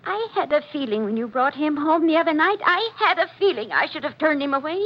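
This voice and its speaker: A high-pitched, middle-aged landlady in an old radio melodrama. She is suspicious and bossy, always insisting and accusing. The sound is muffled, like an old broadcast. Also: expressive, a little fast. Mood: frantic, accusing. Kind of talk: 1940s radio melodrama acting. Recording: muffled 1940s radio recording.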